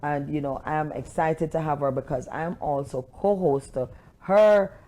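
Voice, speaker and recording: A woman's voice: sits low, unhurried, dark tone. A woman hosting a talk show, interviewing a guest and emphatically repeating words for emphasis.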